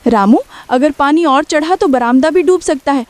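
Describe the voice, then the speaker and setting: A woman's voice: sits high, normal speed, no room sound, lightly acted. A woman playing a worried, caring poor village mother in a Hindi cartoon story, earnestly instructing and protecting her family. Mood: worried, urgent.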